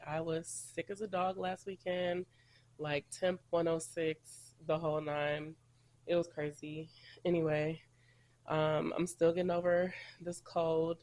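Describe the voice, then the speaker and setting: A man's voice: sits high, a little mellow. A congested man recovering from a cold, hosting a casual solo podcast and rambling in a chatty way. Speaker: podcast host.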